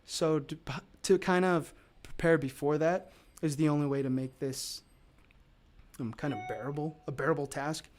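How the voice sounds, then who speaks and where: A man with a raspy, low voice from allergies, talking casually and rambling to viewers as he demonstrates mold casting. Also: fairly even intonation, moderate pace, darkish tone. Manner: rambling, with many fillers and restarts. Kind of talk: casual livestream talk to viewers.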